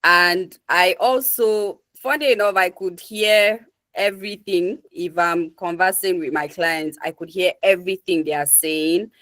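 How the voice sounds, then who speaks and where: Nigerian woman guest, lowish voice, dry sound, slow and articulate. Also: steady tone, fairly bright tone, dry recording. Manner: slow, deliberate, articulate speech. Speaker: guest associate. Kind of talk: Q&A answer.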